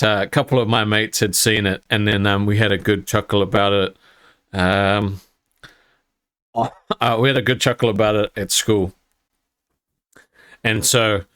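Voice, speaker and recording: A man's voice: fairly low pitch, a bit bright, clear, resonant tone. A young adult man telling a personal story to camera, rambling with fillers and laughing along as he goes.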